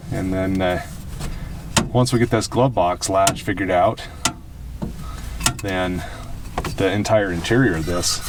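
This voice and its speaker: A man with a fairly low voice gives casual, hands-on commentary as he works on a car. He is informal and opinionated. The mic sits well away from him, and there is clear noise around his voice. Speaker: host of a car restoration video. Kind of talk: casual hands-on vlog commentary while working. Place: in or at a car being worked on. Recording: distant mic, noisy background.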